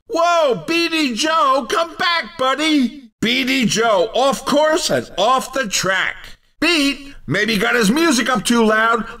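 An excitable, cheeky race commentator for a video game. His voice sits extremely high and rings out forcefully. He delivers each punchy exclamation unhurriedly, playfully calling the action. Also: recorded from a distance, heavily acted. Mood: excited, teasing.

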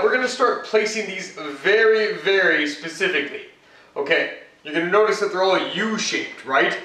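A man's voice: sits high, pushed and bright. A man hosting a casual home cooking demonstration, talking the viewer through each step in a chatty way with joking asides. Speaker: cooking show host. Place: home kitchen, indoors. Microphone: camera mic from across the room. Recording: echoey kitchen, mic far off.

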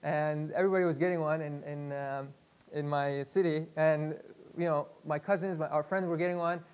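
A man from Iraq, in his thirties, talks to a room of students on a headset mic. His voice sits a little high for a man, and he speaks in a loose, chatty way, restarting phrases and filling pauses. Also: fairly even intonation, clear, resonant tone. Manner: chatty, repeats words, many fillers. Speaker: guest speaker. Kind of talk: live workshop talk. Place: lecture room with projector screen.

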